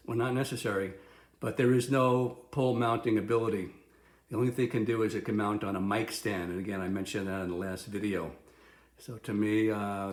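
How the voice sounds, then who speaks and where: Male product reviewer, mid-range voice, casual and unscripted, halting delivery with restarts, roomy echo on the recording. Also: medium pitch. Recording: roomy, echoing sound.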